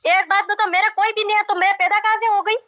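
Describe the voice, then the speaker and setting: A woman's voice: very high voice, flat delivery, fast talker, pushed and bright, dry recording. A young woman arguing insistently and repeating herself on a recorded phone call. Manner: insistent, repetitive, argumentative. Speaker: caller in a marriage dispute. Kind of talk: heated phone conversation.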